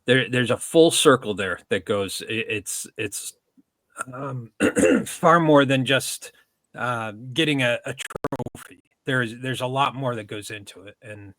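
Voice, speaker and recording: A male podcast host with a bright, forward voice, recorded dry and close to the mic. He speaks haltingly in casual chat, stumbling and restarting as he goes. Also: medium pitch, dry recording.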